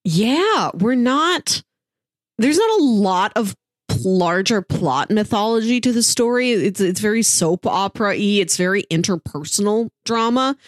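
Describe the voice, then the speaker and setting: A woman's voice: mid-range voice, animated, a little slow, dry recording, studio quality. A woman co-hosting a casual podcast about comics, talking thoughtfully and fluently. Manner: thoughtful, fluent, frequent "yeah" backchannels. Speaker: podcast co-host. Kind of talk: casual comic-book discussion.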